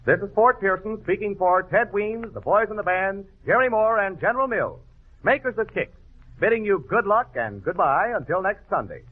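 A man's voice: sits high, expressive, moderate pace. A man announcing in 1940s radio sponsor style, with upbeat salesmanship. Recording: tinny vintage radio broadcast.

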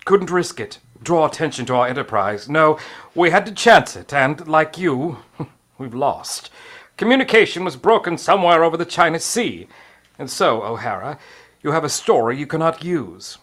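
An actor playing a cagey, formal police commissioner in an old radio crime drama, with measured, clipped delivery. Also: male voice, a little high, animated, fairly bright tone. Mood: grave, confiding. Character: urbane, cagey British colonial police commissioner.

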